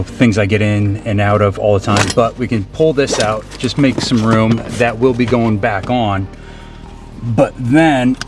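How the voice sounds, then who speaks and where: A man giving a casual, informal how-to walkthrough of a gear install, explaining as he goes. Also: medium pitch. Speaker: co-host of a vehicle gear channel.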